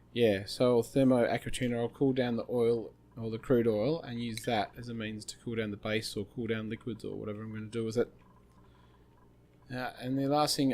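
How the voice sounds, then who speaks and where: A man talks through a game he is playing, wandering from thought to thought with plenty of fillers. His voice is slightly hoarse and sits at an ordinary middle pitch. A faint noise runs under his desk-mic recording. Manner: rambling, thinking aloud with many fillers. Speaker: gaming channel host. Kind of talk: casual gameplay commentary.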